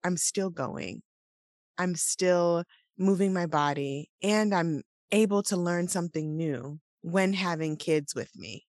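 A woman, co-hosting a casual morning podcast chat in a relaxed, meandering way, her voice still sleepy. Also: on the low side, slow pace, no echo, clean studio sound. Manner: relaxed, laughs often, meandering. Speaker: podcast co-host.

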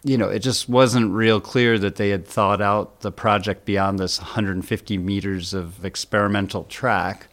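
A man with a low voice talks as an editor being interviewed about the news. He explains things step by step in plain, informal language. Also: steady tone, a little slow, few pauses, a bit bright. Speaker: senior editor, interviewed guest. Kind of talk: conversational explanation of a news story.

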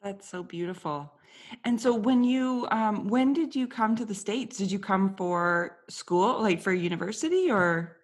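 A woman's voice: medium pitch, a little slow. A woman hosting an interview, asking questions in an eager, upbeat way. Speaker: podcast host. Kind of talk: casual interview.

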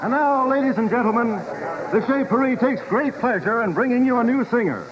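High-voiced genial nightclub boss. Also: male voice, animated, fairly quick, crowd noise. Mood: announcing. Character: reassuring, genial male nightclub boss and emcee. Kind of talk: 1950s radio drama acting. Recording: muffled 1950s radio broadcast, music.

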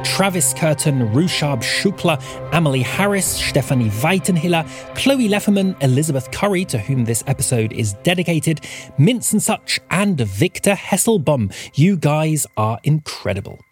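A woman's voice: low voice, sing-song, moderate pace. A woman announcing scripted podcast credits and appeals to listeners. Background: music.

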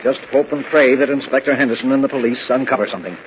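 An actor playing a gruff, worldly male newspaper chief and mayor with brusque authority, in 1940s radio style. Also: medium pitch, fast talker, lightly acted. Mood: resigned. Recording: muffled, noisy old radio recording.

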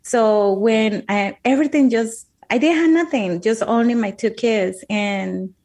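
A woman's voice: fairly high pitch, measured pace, a little mellow. A woman from Colombia with a Colombian Spanish accent, speaking English as a second language, earnestly recounting her life as an interview guest. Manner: earnest, emphatic, repeats words while thinking. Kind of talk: heartfelt personal storytelling.

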